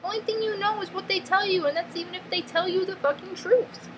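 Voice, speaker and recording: A woman with a New York accent, talking in a blunt, emphatic confessional vlog, halting and restarting often and filling her speech with "freaking" and "like.". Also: very high-pitched, steady tone. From northeastern US, near New York. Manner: halting, restarts mid-sentence. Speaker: vlogger. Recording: noticeable background ambience noise.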